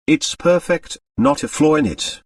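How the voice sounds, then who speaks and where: A man's voice: a little high, expressive, unhurried, gravelly, no echo, far from the mic, voice pushed up. A man voicing a hard, proud American millionaire who dotes on his daughter, in an audiobook. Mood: amused, proud.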